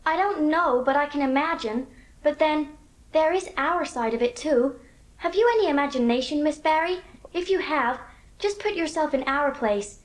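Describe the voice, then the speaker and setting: High-pitched, earnest young girl played by a woman. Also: moderate pace, lightly acted. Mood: earnest pleading. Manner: earnest, wordy, precocious. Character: earnest, outspoken, dramatic orphan girl of eleven. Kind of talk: dramatised audiobook acting.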